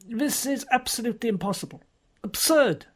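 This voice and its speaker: A woman's voice: fairly high pitch, animated, normal speed, voice pushed up. A woman voicing an earnest male police officer who pleads in an urgent, imploring way.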